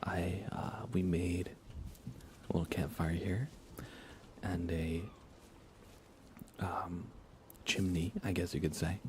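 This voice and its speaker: A soft-spoken man chatting casually as he hosts an ASMR gaming stream, often slipping into whispers. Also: low-pitched, flat delivery, slow pace, pauses often. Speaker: livestream host. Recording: faint computer fan noise. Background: computer fans, game ambience.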